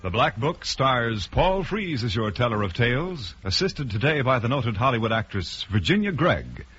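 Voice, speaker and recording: A man's voice: medium pitch, big pitch swings, moderate pace, a bit bright. An American man reading scripted credits and promos as a polished, formal mid-century radio announcer. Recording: muffled vintage radio recording, faint music.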